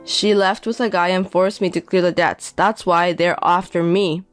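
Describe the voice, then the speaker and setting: A woman voicing a 57-year-old indebted deadbeat father who pleads and pities himself, speaking slowly. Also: on the low side, steady tone, soft background music, lightly acted. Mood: pleading. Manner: pleading, self-pitying, slow.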